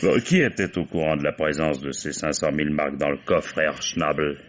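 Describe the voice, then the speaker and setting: An actor playing a wily, genial police commissioner in an old French radio mystery, drawling falsely casual questions and musing asides. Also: male voice, a bass voice, a little fast. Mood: sly, probing. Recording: dull old radio drama recording.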